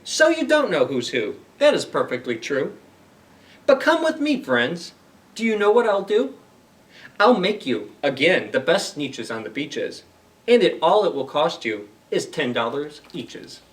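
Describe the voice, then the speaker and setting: A sly swindler from a storybook, played by a man reading aloud, works his sales patter in a highish voice. He wheedles and smooth-talks like a practiced salesman. The sound sits a little away from the microphone. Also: sing-song, unhurried, distant mic. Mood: wheedling.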